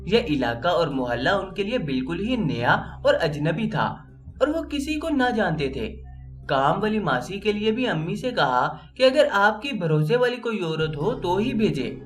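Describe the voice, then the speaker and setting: A man's voice: high-pitched, big pitch swings, measured pace. A man reading a scripted, emotional moral story as an audio narrator, with music playing underneath. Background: background music.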